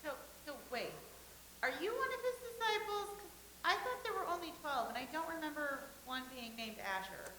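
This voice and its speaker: A woman in a comedic staged reading playing an eager, defensive believer who is quick to argue. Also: sits high, animated, pushed and bright. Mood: questioning, argumentative. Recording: hissy, roomy recording with noise.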